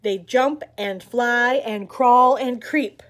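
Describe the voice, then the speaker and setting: A middle-aged woman reading a picture book aloud for children in an expressive, dramatic, chanting way. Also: a little high, takes it slowly. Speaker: library story time host.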